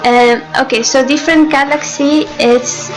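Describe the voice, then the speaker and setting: A young Chilean woman with a Chilean accent, a native Spanish speaker, chats hesitantly through a livestream in a slightly high voice. She talks slowly, giggles, and restarts her sentences often. Music plays steadily behind her. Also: steady tone, poor audio. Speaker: game developer hosting a livestream. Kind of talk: casual livestream chat.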